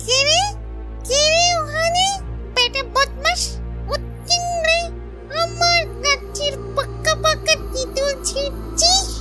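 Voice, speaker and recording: A very high, shrill female ghost, gloats and threatens in a slow sing-song full of cackles. She is a gleeful, menacing cartoon spirit, with music clearly playing behind her. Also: distant mic. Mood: angry, threatening.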